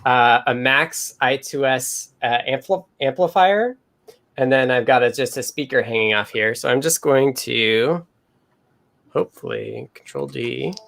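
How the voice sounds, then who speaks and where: A man casually demonstrating his technical project, with a somewhat halting way of talking. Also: medium pitch, creaky, fairly bright tone. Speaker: developer showing a project.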